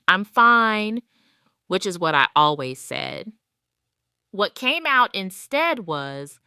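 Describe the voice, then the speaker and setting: A woman narrates a scripted audio drama in the first person as a wronged retired wife. Her voice is low and bright, and she tells it at a slow, deliberate pace with measured phrasing. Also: clean tone, no echo, studio quality.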